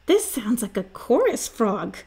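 A woman's voice: fairly high pitch, big pitch swings, measured pace, a little mellow, husky, full character voice. A woman reading the part of an enthusiastic, emphatic young boy in a children's storybook. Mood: excited. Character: eager young boy obsessed with frog sounds.

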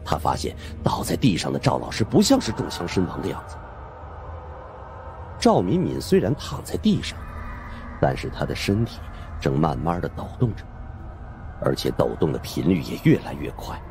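A man narrating a suspense audiobook in the first person, with dramatic, animated delivery. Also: a bass voice, moderate pace, vocal fry, soft background music.

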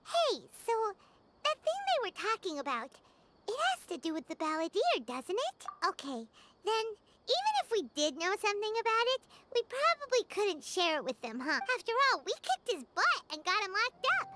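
A woman voicing a tiny, excitable floating girl companion who calls herself by name, in a game dub. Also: moderate pace, heavily acted. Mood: musing. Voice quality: high, squeaky childlike voice. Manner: chirpy, refers to herself by name. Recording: game audio with loud music.

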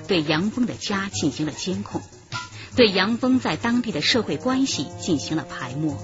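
A voice actress as the female narrator of a tense crime radio drama, telling it dramatically with lively swings in pitch. The sound is slightly dull, with clear music underneath. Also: natural voice. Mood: suspenseful, measured.

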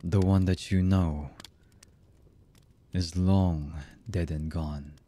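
A voice actor playing a cold, embittered villain man in an ASMR roleplay, husky and menacing, with halting delivery that often drops to a murmur. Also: steady tone, warm tone. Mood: cold menace. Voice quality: husky, low.

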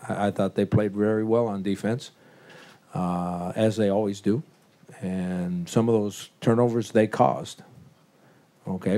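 A man, a head football coach, answering press questions after a game in a measured, blunt way, with fillers. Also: lowish voice, gravelly, dark tone. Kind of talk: postgame press conference answers.

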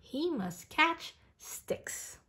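A woman voicing a whimsical, insistent little girl from a picture book, earnest and emphatic with drawn-out words. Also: high voice, sing-song, sharp tone, pitched above the performer's own voice, exaggerated voice. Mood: excited, emphatic.

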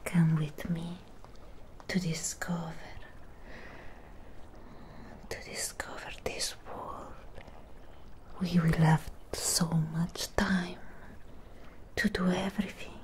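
A woman voicing a lonely, possessive female Frankenstein creature in a close-up ASMR roleplay, whispering in breathy, halting, intimate phrases. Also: lowish voice, little pitch movement. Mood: coaxing, tender. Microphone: close microphone. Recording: close-up with clear background noise.

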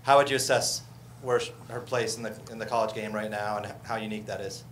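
A man's voice: on the low side, fairly even intonation, fast, a bit bright. A man with an American accent asking wordy, rephrased questions as a sports reporter at a press conference. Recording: clear room noise and ambience.

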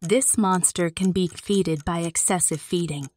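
A woman reading scripted quiz narration as the narrator, in a measured announcer style. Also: lowish voice, background noise.

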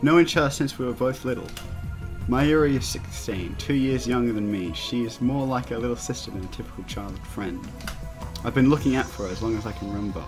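Male let's play host, mid-pitched, casual halting read of visual novel text over soft music. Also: poor audio. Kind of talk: reading a visual novel aloud, casual asides. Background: game music.

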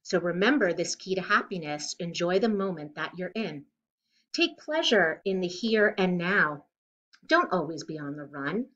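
A middle-aged woman reading a children's picture book aloud in an expressive, deliberate way, recorded indoors at home. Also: lowish voice, lo-fi sound. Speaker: children's storybook reader. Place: living room at home, indoors.

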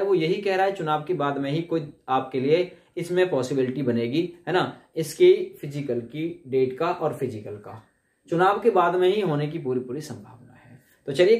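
A man's voice: high-pitched, darkish tone. A man, explaining to camera in an emphatic, conversational way, like an informal coaching teacher. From India. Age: 20s. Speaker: exam coaching teacher and presenter. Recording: echoey room sound.

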